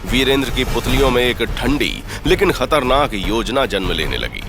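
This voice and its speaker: A man's voice: medium pitch, animated, normal speed. A man narrating a scripted audio story in a suspenseful, theatrical style.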